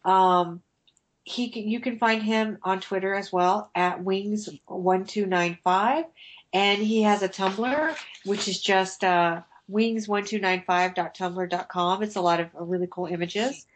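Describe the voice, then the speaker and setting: A woman's voice: medium pitch, steady tone, measured pace. A woman co-hosting a casual podcast chat, stuffed up and tired, reading listener feedback aloud with small slips. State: stuffed-up nose. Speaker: podcast co-host.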